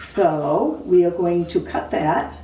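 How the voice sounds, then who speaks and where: A woman's voice: fairly low pitch, lively intonation, takes it slowly, mellow. A woman teacher giving simple step-by-step craft instructions to young children. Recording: roomy, distant mic, faint noise.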